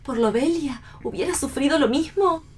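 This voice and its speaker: High-voiced, proud, disillusioned young woman played by an actress. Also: moderate pace, a little mellow, close to an everyday voice. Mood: resigned, regretful. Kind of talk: read radio theatre.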